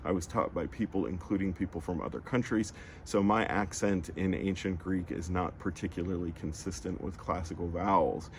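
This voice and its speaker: A man teaching a lesson, patiently explaining sounds and drawing out each one slowly as he demonstrates it. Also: low voice, steady tone, slight background noise. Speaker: language teacher.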